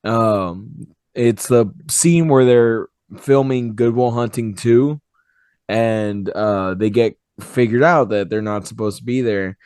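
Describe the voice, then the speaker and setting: A hoarse man hosting a podcast, chatting in a relaxed, rambling way with some swearing. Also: lowish voice, slow pace, no room sound, studio quality. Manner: relaxed, rambling, fond of profanity. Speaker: podcast host. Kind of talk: casual chat.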